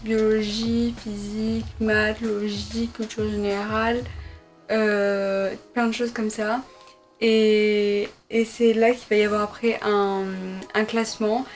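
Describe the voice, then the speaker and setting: A young woman, a medical student, answering viewer questions in a casual sit-down vlog from her bedroom, hesitant with many fillers and self-corrections. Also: mid-range voice. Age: young adult. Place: her bedroom at her parents' home.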